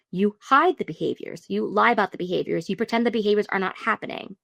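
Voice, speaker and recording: A woman commenting on video clips in a relaxed, talkative way. Her voice has a gravelly fry and sits in a middle pitch. The sound is dry and close, and she stumbles over a word now and then. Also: no echo. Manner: talkative, explanatory, stutters at times. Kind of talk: casual reaction commentary.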